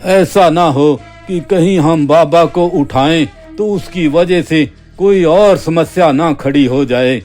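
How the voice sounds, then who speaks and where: A man playing a wary, grumbling villager in cartoon story dialogue, arguing hesitantly and deliberately. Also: on the high side, darkish tone. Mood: worried, grumbling. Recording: background music under the voice.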